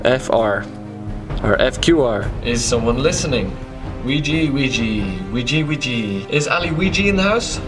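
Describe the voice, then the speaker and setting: A man's voice: medium pitch, animated, normal speed, over music. A man in his twenties with an Irish accent, talking to camera in a casual, self-mocking adventure vlog. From Ireland. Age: 20s. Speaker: vlogger.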